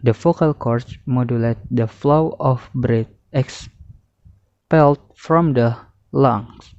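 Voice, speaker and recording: Slow, mellow young man reading a lesson. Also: medium pitch, no echo. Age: young adult. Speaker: student presenter. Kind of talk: scripted lesson narration, reading slides. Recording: muffled, dull lo-fi audio.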